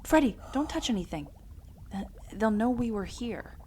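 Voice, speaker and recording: A woman's voice: on the high side, animated, normal speed, a little mellow, slight background noise, lightly acted. A woman playing a curious, enthusiastic and slightly bossy adventurer in an audio drama. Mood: cautious. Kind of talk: scripted audio adventure acting.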